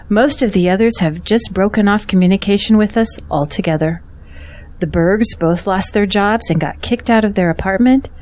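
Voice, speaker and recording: An actress playing a gentle, devout and hospitable churchwoman with a sincere manner in an audio drama. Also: mid-range voice, close to an everyday voice.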